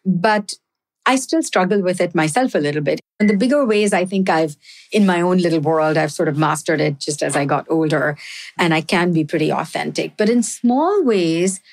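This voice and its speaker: A woman's voice: lowish voice, expressive, moderate pace. A middle-aged woman with an Indian accent, answering interview questions in a candid, earnest and reflective way. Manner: candid, earnest, says "frankly" and "right?" often. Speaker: guest, health system executive.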